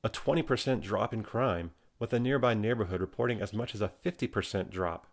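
A man's voice: fairly low pitch, a little fast. A man with an American accent reading scripted documentary narration. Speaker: narrator and nature photographer. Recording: music behind. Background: music.

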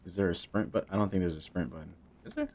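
A man's voice: sits low, huge pitch swings, very fast, darkish tone, a little hiss or hum. Jittery young man playing a horror game. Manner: jumpy, talks to himself, joking nervously. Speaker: gaming streamer. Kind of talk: casual horror game commentary. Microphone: headset.

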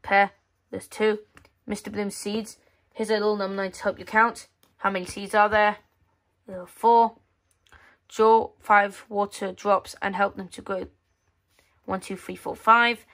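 Casual female read-aloud voice, medium pitch, halting, with offhand muttered asides. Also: little pitch movement, a bit bright. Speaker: magazine reviewer. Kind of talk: casual read-aloud walkthrough of a children's magazine. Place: indoors at a tabletop.

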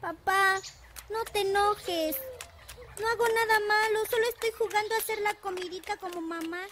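A woman's voice: very high-pitched, normal speed, fairly bright tone, slight background noise. A woman playing a playful, pleading six-year-old village girl in an audio drama.

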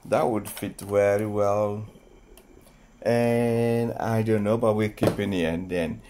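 A man with a fairly low voice talks very slowly and casually to young viewers about his wooden train set. He is recorded on a phone in an indoor playroom, with faint background hiss and toys clicking as he handles them. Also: steady tone, warm tone. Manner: slow, unhurried, talking to children viewers. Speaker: toy train channel host. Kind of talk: casual toy showcase narration. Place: indoor playroom with shelves and toy train table.

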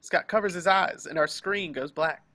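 A man reads screenplay action lines as the narrator of a table read. His voice is bright and forceful, pitched a bit above average for a man. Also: normal speed. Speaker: narrator reading action descriptions. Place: online group video call.

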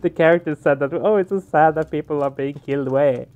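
Higher-pitched man doing game commentary. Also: quick pace, darkish tone, highs cut off. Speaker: let's play streamer. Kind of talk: casual gaming commentary, reading game text aloud. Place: small room indoors. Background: game music.

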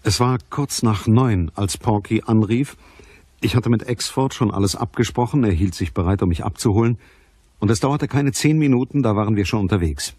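A man's voice: lowish voice, moderate pace, a little mellow, slightly rough, no echo. A man acting a cool, matter-of-fact private detective in a crime radio play. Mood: sober narrating. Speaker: lead actor and narrator of a crime radio play.

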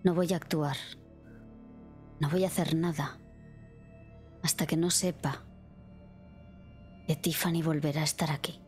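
A woman acts out a wary, defiant woman in a tabletop horror game. Her voice is low, and she presses with short, insistent questions despite her fear. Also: steady tone, unhurried, long pauses, a little mellow, faint music behind. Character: frightened but defiant, suspicious woman.

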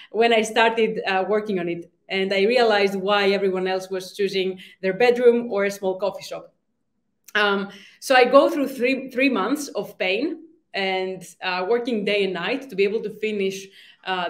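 A young woman from Greece, a startup founder giving a conference talk, hesitant, with many ums and restarts. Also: medium pitch. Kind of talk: conference presentation. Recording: roomy reverb.

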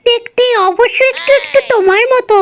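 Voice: extremely high pitch, fairly quick, slight background noise. A performer voicing a rude, spoiled young village daughter who tattles haughtily in a cartoon. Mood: tattling. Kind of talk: cartoon fairy-tale dialogue. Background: music.

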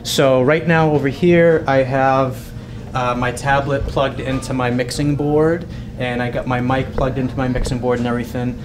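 A man's voice: mid-range voice, little pitch movement, normal speed, noisy background. A man in his thirties giving casual, conversational training to camera in an informal, rambling way. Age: 30s. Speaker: paint party business owner giving training. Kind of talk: casual instructional talk to camera. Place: small restaurant dining room, indoors.

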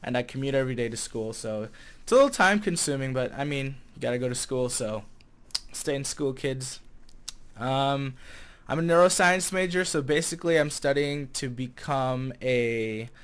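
A man's voice: mid-range voice, lively intonation, moderate pace, clean tone. Easygoing young American man chatting. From Chicago area, United States. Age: 20 years old. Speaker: vlogger and game server staff manager. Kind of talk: casual vlog chat to camera. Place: small room at home, indoors. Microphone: desk condenser mic with pop filter.

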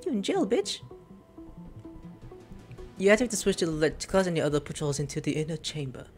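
A man's voice: a little high, very animated, fairly quick, faint music behind. A man streaming a game, reading dialogue aloud and making casual jokey comments. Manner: reads game text aloud, jokey asides. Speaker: gameplay streamer. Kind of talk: casual let's-play commentary.

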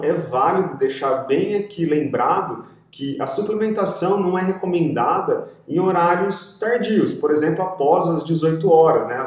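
A man's voice: fairly high pitch, a little mellow. A young man from Brazil, a sports nutritionist, explaining steadily to camera in a small room and checking in with his viewers as he goes. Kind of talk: educational video talk to camera. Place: small indoor room with pink lighting. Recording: very echoey room, distant mic.

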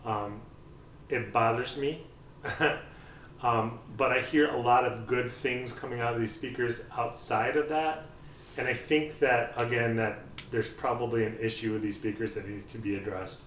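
A middle-aged man talks through a speaker review at an unhurried, halting pace, filling gaps with ums and uhs. His voice sits in a middle register and carries the roomy ring of a small space. The camera mic is set back, adding a little distance and faint background ambience. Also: mid-range voice, fairly even intonation, fairly bright tone, slight background noise. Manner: hesitant, many ums and uhs, thinking aloud. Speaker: audio equipment reviewer. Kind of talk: casual spoken review. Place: small room with speakers and a TV, indoors. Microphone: far camera on a tripod.

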